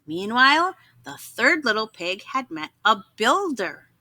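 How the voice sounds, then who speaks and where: A woman narrates a children's story in a measured, dramatic read-aloud style. Her voice is fairly high with very lively intonation. She takes her time over the phrases. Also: no room sound.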